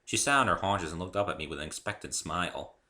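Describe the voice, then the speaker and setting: A man's voice: sits low, quick pace, bright, forceful tone. A man reading his own story aloud as a dramatic first-person narration.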